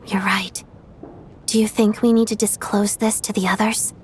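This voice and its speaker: A woman's voice: mid-range voice, flat delivery, moderate pace, a little mellow, slight background noise, close to an everyday voice. An actress playing a composed, serious woman investigator in a video game. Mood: guarded.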